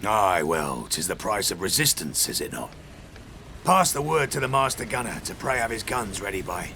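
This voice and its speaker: Deep, swinging-voiced pirate captain. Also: male voice, sing-song, moderate pace, bright, forceful tone, slight background noise, full character voice. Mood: menacing. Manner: archaic seafaring speech, commanding. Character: ruthless, wry commanding pirate captain. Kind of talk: full-cast audio drama acting.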